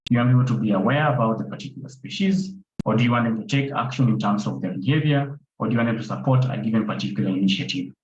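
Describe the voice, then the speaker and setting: A man's voice: lowish voice, racing, few pauses. A man speaking as a conservation photographer on an online panel, repeating words for emphasis. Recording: roomy, dull sound with faint noise.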